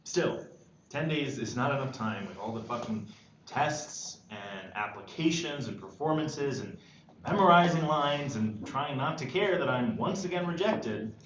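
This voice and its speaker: A man playing a sardonic, reluctant high school senior at a screenplay table read. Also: lively intonation, a little slow, fairly bright tone. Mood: weary, grumbling. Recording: echoey room, muffled, faint noise.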